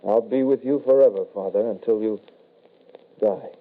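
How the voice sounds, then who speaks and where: An actor playing an undead shapeshifting son, calmly menacing and apologetic, in old-time radio horror style. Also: male voice, lowish voice, normal speed, natural voice. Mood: apologetic menace. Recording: tinny, band-limited vintage radio broadcast.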